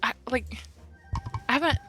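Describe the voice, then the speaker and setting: A woman's voice: a little slow, pushed and bright, faint music behind. A woman chatting casually on a livestream, inquisitive and blunt in her questions. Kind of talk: casual chat.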